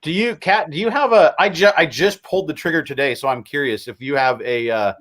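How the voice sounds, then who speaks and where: Relaxed man explaining with examples. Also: a little high, a little fast. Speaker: co-host. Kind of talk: casual livestream chat.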